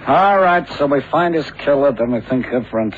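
Defensive, world-weary man played by an actor, radio drama: medium pitch, evasive, clipped; narrow vintage sound. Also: lively intonation, fairly quick, lightly acted. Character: weary, defensive male friend of a murdered man. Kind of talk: radio crime drama acting. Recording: phone-thin vintage radio recording.

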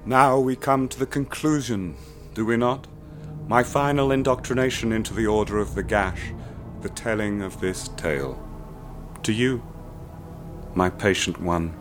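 A sinister male cultist tells his tale in a calm, measured way, as a man acts him out. His voice sits very low. Loud brooding music runs under every word. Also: lightly acted. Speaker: narrator.

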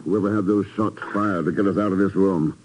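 An actor playing a cool, commanding secret agent in a 1950s radio drama, terse, dry and authoritative. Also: male voice, sits low, steady tone, a little fast, darkish tone, slightly rough, low-quality recording. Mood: grim, resolute. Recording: old 1950s radio recording.

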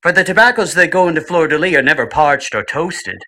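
A man's voice: a little high, animated, brisk, pushed and bright. A man playing an old-time radio host who introduces the program and its guest in a theatrical announcer style. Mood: salesy.

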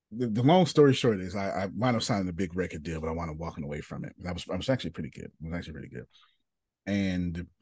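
A man, a podcast host who sounds casual and drops into a soft, low voice when he talks about himself. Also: no echo. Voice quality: raspy. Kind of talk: casual group chat and personal talk.

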